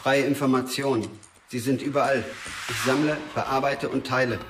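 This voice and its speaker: A man's voice: medium pitch, steady tone, normal speed, over music, natural voice. An actor playing an odd male informant who recites data like a terminal in clipped, impassive phrases, in a radio crime drama. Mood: impassive, reciting. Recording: roomy, distant, noisy with clicks.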